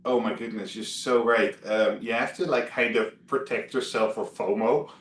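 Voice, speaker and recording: A man's voice: fairly low pitch, steady tone, normal speed, fairly bright tone, roomy sound, a little hiss or hum. A man from the Netherlands with a Dutch accent, a guest chatting over a video call, hesitant with many fillers and restarts. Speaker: guest, travel blogger.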